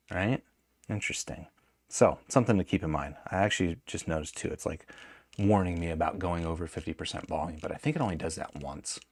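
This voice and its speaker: Low-voiced man, casual reviewer. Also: no room sound, studio quality. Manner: conversational, thinking aloud with self-corrections. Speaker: product reviewer. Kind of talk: casual unscripted tech review.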